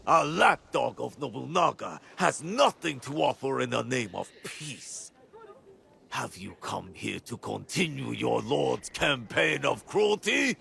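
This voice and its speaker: An actor playing a defiant, scornful rebel warlord in a video game, declaiming theatrically with a gravelly, forceful voice. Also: male voice, mid-range voice, lively intonation, exaggerated voice. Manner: declamatory, slow, dramatic swings into shouting. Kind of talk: dramatic video game acting.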